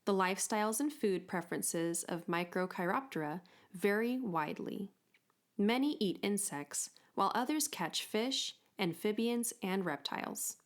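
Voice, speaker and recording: A woman reading a children's book aloud as an expressive storytime narrator. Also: medium pitch, moderate pace.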